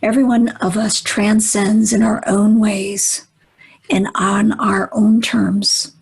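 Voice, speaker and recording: Female meditation guide: very husky and breathy, gentle, unhurried, with pauses between short instructions. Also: medium pitch, flat delivery. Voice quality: breathy, husky, often near whisper.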